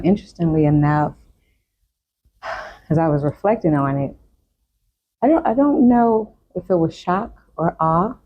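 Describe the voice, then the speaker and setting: Woman, talk show guest, low pitch, reflective candid storytelling, dull sound, faint background noise. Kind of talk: personal storytelling in an interview.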